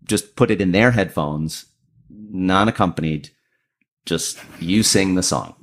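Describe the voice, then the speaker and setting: A man with a deep voice, a comedian and lawyer telling a self-deprecating story as a podcast guest. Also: unhurried. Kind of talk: casual storytelling.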